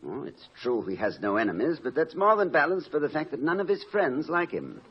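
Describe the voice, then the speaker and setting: An actor playing an erudite, wry, fond middle-aged college president in a 1950s radio comedy, speaking in eloquent, dryly witty sentences. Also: male voice, a little high, sing-song, fairly quick. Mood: dryly sardonic. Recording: muffled old radio recording with noise.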